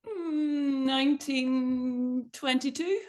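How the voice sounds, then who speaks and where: A woman with a fairly high voice chats casually as a podcast guest. She speaks in an easygoing, soft-spoken way. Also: very slow. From Ireland. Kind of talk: casual chat.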